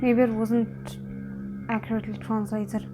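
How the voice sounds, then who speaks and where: A woman giving a casual live reaction to a drama series, thinking aloud in an informal, expressive way. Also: on the high side, a little slow, music in the background. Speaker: reaction video host.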